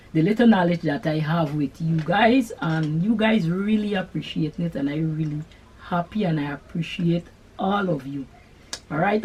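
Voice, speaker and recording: A middle-aged woman with a Guyanese accent, hosting a sewing channel and answering viewer questions in an unhurried, chatty way. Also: deep voice, a little mellow, slight background noise. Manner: unhurried, chatty, Creole-tinged phrasing. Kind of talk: casual Q&A chat to camera.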